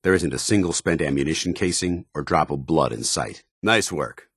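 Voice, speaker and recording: Stern military companion, gruff, very low register, rasping edge, zealous, earnest, formal, game dialogue. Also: male voice, expressive, moderate pace, fairly bright tone, no echo, studio quality, heavily acted. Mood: firm, businesslike. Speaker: companion soldier character. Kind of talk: video game voice acting.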